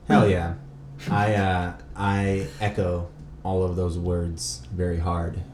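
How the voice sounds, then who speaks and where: A man's voice: low voice, flat delivery, slow, a little mellow. A man hosting a casual podcast chat in a laid-back, slangy way. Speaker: podcast host. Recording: echoey room, faint background noise.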